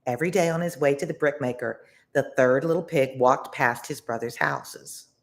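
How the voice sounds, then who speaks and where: Gravelly children's storyteller, expressive. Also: mid-range voice. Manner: deliberate, expressive, explains words simply. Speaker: read-along storyteller and tutor. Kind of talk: children's story read aloud, with word explanations.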